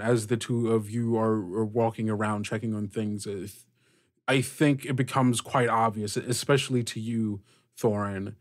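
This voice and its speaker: A man narrating a tabletop game as game master, improvising in a halting way and addressing the players directly. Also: on the low side.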